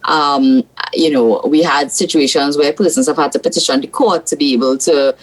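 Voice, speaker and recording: A woman's voice: sits low, normal speed, poor audio. A woman from South Trinidad with a Trinidadian accent, a senator giving blunt, frank opinions as a guest on a political talk show.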